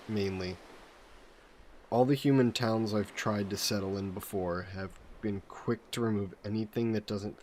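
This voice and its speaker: A man voicing a vain sea monster who poses as a mannered gentleman in a roleplay audio drama, speaking in suave, formal phrases. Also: lowish voice, slight background noise, natural voice. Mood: cold, menacing. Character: flirty, vain sea monster posing as a gentleman traveler, turning menacing.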